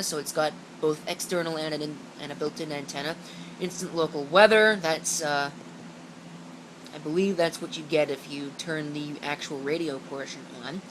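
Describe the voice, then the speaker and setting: A woman's voice: low voice, rough recording. A woman giving a casual, unscripted product walkthrough, rambling and matter-of-fact as she reads out packaging and corrects herself. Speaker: gadget reviewer showing an unboxing. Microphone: built-in camera microphone. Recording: steady background hum, amateur camera audio.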